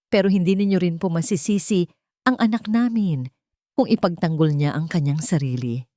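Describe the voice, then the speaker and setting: A calm and firm man from a romantic audio drama , acted out by a woman. The voice is low and closely recorded with no room sound. He speaks politely and earnestly, as a protective guardian. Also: moderate pace, no room sound, studio quality, natural voice.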